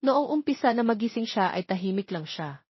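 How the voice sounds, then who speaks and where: A woman's voice: medium pitch, animated, a little fast, slightly rough, no room sound, dull audio. A woman reading a scripted romance story as narrator, in a close voice-over.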